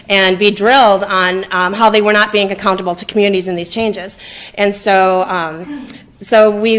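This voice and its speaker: A woman speaking informally as a community advocate on a panel, pausing often as she goes. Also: mid-range voice, fairly bright tone. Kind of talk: informal public panel talk. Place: indoor library event.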